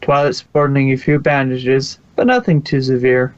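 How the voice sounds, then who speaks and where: A man's voice: medium pitch, steady tone, moderate pace, clean tone, no echo, lo-fi sound. A man reading fan fiction aloud as the narrator, close to the microphone.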